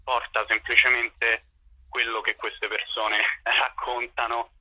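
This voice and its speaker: A man's voice: mid-range voice, flat delivery, slow pace, no room sound. A man interviewed as a playwright over a phone line, answering spontaneously and often searching for words. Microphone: telephone.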